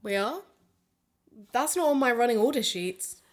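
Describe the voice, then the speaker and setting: An actress playing an earnest, excitable woman who co-hosts an investigative podcast in an audio drama. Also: on the high side, normal speed, slight background noise, lightly acted. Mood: flustered. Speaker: podcast co-host.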